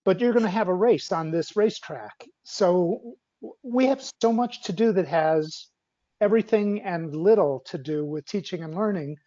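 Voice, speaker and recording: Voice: mid-range voice, moderate pace, warm tone. A person asking a question informally in a meeting, with an emphatic, rhetorical manner. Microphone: video call. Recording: muffled lo-fi video call.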